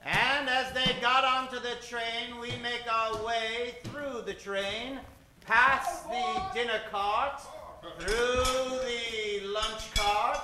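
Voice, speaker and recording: A man's voice: extremely high pitch, steady tone, slow pace, echoey room, noisy background. A man playing the storyteller narrator of an old-time radio adventure serial.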